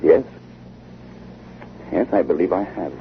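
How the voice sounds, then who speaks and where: An actor playing a sceptical, uneasy gentleman in a 1950 radio drama, with a formal, hesitant, incredulous way of speaking. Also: sits low, animated, slow, heavily acted. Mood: puzzled. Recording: noisy, muffled old radio broadcast.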